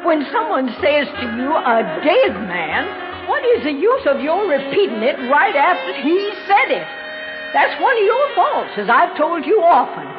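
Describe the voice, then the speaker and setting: Imperious old mother, slow and swooping. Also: sits high, big pitch swings, dull audio. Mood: cranky, scolding. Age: older. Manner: bossy, scolding, genteel old-fashioned phrasing. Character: imperious, cranky old mother, darkly matter-of-fact about death. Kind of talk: 1960s radio drama acting. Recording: band-limited 1960s radio recording with music.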